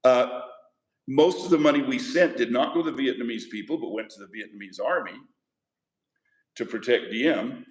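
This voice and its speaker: A man's voice: mid-range voice, moderate pace. A man teaching a history class, lecturing in a conversational way and putting questions to his students. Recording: echoey classroom.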